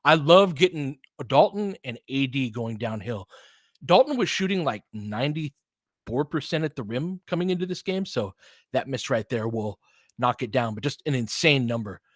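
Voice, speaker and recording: Excitable man calling a basketball game. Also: medium pitch, no echo, studio quality. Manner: quick, excitable calls with chatty asides. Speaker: play-by-play commentator. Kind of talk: fan-made basketball play-by-play commentary.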